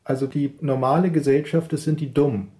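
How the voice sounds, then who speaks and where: Mellow, reflective interviewee. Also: medium pitch, steady tone, fairly quick. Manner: reflective, academic phrasing. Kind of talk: interview commentary.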